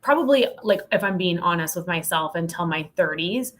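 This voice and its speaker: Lower-pitched woman, candid and reflective. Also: animated, fairly quick, fairly bright tone. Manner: frank, reflective, frequent "like". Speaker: podcast guest, sales coach. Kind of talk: candid conversational storytelling.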